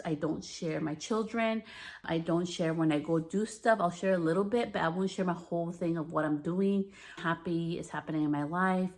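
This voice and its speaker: A woman in her thirties with a deeper natural voice, hosting a podcast in a frank, candid monologue that swears freely. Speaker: podcast host.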